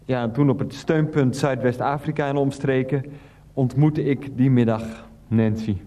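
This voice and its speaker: An actor playing an earnest, clueless middle-aged husband in a radio play, confessing in a halting, rambling, sincere way. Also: male voice, mid-range voice, steady tone, dark tone, close to an everyday voice. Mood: awkward, sincere.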